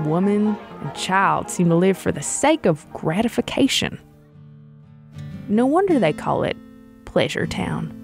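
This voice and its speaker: An actress playing a woman traveler of 1917, reading her letter aloud in measured, old-fashioned phrasing. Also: lowish voice, creaky.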